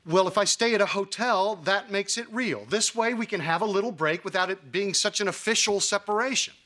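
An actor playing a sheepish, pleading middle-aged ex-husband in a sitcom. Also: male voice, high-pitched, a little fast, bright, forceful tone. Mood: defensive, pleading. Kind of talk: broadcast sitcom acting.

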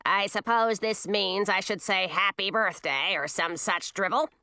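A woman's voice: mid-range voice, expressive, moderate pace, bright, forceful tone, smooth, no echo. Woman doing a sour, grumbling old witch. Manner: grumbling, muttering, snide.

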